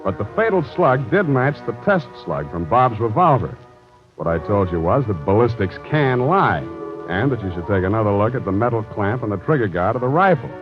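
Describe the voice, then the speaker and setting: Deadpan american gumshoe guy, tough and wisecracking, old radio show, muffled with music behind. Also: on the low side, brisk. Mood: wry narration. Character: wry, tough, unflappable American private detective. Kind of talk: old-time radio detective drama, narration and dialogue.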